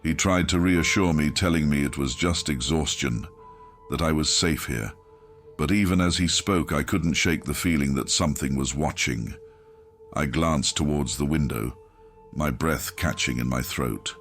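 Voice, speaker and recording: A man reading a scripted first-person horror story in a grim, measured, dramatic manner, as an audiobook narrator. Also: rumbling low, a bit bright, faint music behind.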